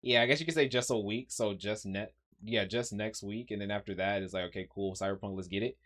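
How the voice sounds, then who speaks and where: A man's voice: fairly low pitch, steady tone, fairly quick, fairly bright tone. A man streaming live, thinking aloud in a rambling casual way with frequent ums. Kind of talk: casual livestream commentary.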